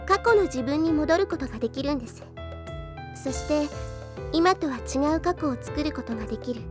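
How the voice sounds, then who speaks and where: A woman's voice: high-pitched, lively intonation, moderate pace, music in the background. A woman playing a sweet, modest and very polite teenage demon princess in an anime audio drama. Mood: grave, explaining.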